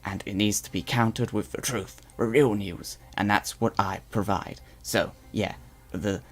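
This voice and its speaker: Boastful alien guard-turned-newscaster, voiced by a man, weird put-on accent, lowish voice, stammers and rambles. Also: steady tone, measured pace. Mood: blustery. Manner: blustery, rambling with stammered restarts. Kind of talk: in-character live stream chat roleplay.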